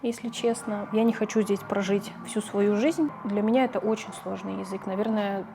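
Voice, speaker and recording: A woman's voice: medium pitch, fairly even intonation, moderate pace, vocal fry, noisy background. A middle-aged woman from a Russian-speaking background, a mother and family vlogger, talking casually to the camera in a chatty, conversational way and sometimes correcting herself mid-sentence.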